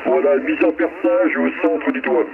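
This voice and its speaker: A man chatting casually in a halting way, heard through a radio transmission. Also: a little high, completely flat delivery, vocal fry. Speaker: mobile CB radio operator. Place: transmitting from a car. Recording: tinny, noisy CB radio reception.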